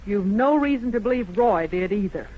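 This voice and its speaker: A woman's voice: mid-range voice, lively intonation, normal speed, natural voice. An actress playing a loyal, spirited cowgirl in an old radio Western, direct and concerned. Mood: concerned, questioning. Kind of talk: 1950s radio Western acting. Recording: muffled, noisy old-time radio recording.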